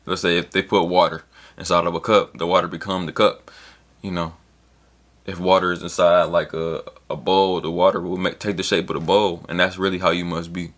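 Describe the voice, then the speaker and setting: A young man in his twenties talks to camera in a loose, rambling way, full of slang and the odd swear. His voice sits low and deep. It's a casual solo vlog, a motivational monologue. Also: level tone, brisk, fairly bright tone. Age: 20s. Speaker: vlogger.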